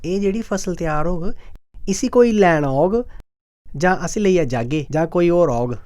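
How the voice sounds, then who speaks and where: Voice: mid-range voice, animated, unhurried, darkish tone, natural voice. A speaker with a Dogri accent, in the role of a farmer, puts plain questions in an educational film.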